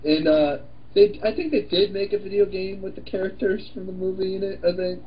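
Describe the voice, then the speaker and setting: A man's voice: sits high, fairly even intonation, a little fast, muffled recording, a little hiss or hum. A man co-hosting a casual film chat with friends, rambling and swearing freely. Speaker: podcast co-host.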